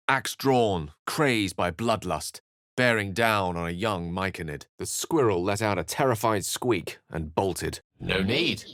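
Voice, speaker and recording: A learned, refined wizard from a fantasy video game. His voice is low and closely recorded with a dry sound. He speaks eloquently and with wry polish, measuring out his phrases like a theatrical storyteller. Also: a bit bright, no echo. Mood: storytelling, grave, then emphatic, declaiming.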